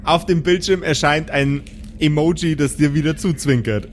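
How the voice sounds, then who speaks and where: A man narrating an improvised pen and paper audio drama as game master, deliberately and with dramatic pauses. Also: fairly high pitch, slight background noise.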